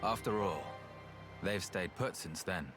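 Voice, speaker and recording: Weary, reasonable male researcher for a game cutscene: low, plain-spoken, grave, music underneath. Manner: unhurried, thoughtful, plain-spoken. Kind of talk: video game cutscene acting.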